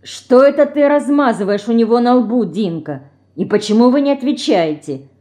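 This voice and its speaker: A woman's voice: mid-range voice, animated, a little slow, darkish tone, natural voice. A woman voicing a prim young older sister, indignant and scolding, in a storybook reading. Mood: offended.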